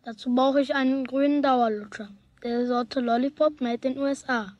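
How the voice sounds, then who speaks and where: Earnest schoolboy played by a woman for a children's audio drama; highish voice, chatty, confiding, a bit stubborn. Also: steady tone, normal speed, darkish tone, no room sound, highs cut off, natural voice. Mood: pondering. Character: earnest, stubborn young boy with big worries. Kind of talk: German radio play for children, 1970s.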